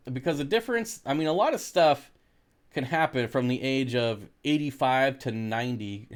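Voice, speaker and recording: An American man hosting a casual livestream, talking in a laid-back, jokey way as he reads and answers comments. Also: medium pitch. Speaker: livestream host reading and answering viewer comments.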